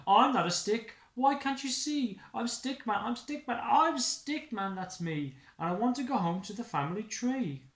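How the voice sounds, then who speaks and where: A small storybook stick man acted out by a man, pitched high and plaintive. He protests indignantly in insistent, drawn-out chants, homesick, in a roomy, echoing space. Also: animated, fairly bright tone, exaggerated voice. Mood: indignant, pleading. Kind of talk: rhyming picture-book story voice.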